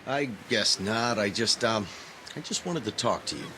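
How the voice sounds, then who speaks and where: An actor playing an earnest, cautious young male graduate student in an audio drama, speaking in a slightly halting way. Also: lowish voice, sharp tone, slight background noise. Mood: friendly, hesitant. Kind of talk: naturalistic audio drama acting. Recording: clear background ambience.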